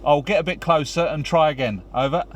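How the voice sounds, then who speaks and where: A man's voice: fairly high pitch, a little fast. A man talking casually as a vlogger testing two-way radios, switching between offhand remarks and radio check calls. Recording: clear vehicle and ambient noise.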